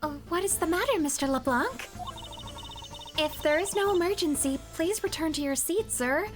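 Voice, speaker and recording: A woman's voice: very high-pitched, animated, normal speed, over music, voice pushed up. A woman voicing a polite young female flight attendant in a sweet, courteous tone. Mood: politely concerned.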